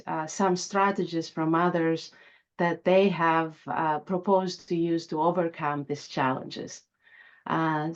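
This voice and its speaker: A woman from a university giving polite, measured remarks at an online panel. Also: lowish voice, highs cut off. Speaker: university faculty member.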